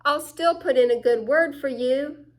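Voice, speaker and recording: A woman plays a hopeful little boy from a picture book. The voice is high and unhurried, earnest and wide-eyed, full of belief. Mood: reassuring.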